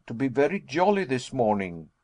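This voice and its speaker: A man's voice: medium pitch, very animated, normal speed, a little mellow, close to an everyday voice. A man voicing an anxious, tired young man who speaks hesitantly and plaintively. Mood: uneasy. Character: weary anxious young man, the professor's nephew.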